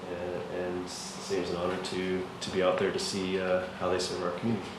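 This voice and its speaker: Councilman stumbling thru a report, very deep flat voice, echoey far mic. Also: noisy background. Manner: halting, many ums and restarts. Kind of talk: report at a meeting. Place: council chamber.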